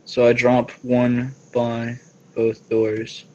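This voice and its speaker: Male tabletop player, average pitch, laid-back slang, informal online-call chat. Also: monotone, very slow, mellow, dull audio. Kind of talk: casual chat while playing.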